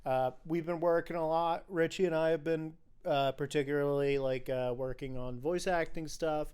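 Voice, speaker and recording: A man's voice: on the high side, fairly even intonation, normal speed, a little mellow, clean tone. A man hosting a casual film podcast with enthusiastic, opinionated chat, recorded in an echoey room at home. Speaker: podcast host.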